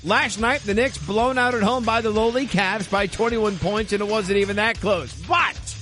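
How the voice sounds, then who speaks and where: A man anchoring a radio sports newscast with a brisk, crisp read. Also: high voice, fairly even intonation, bright, forceful tone, faint music behind.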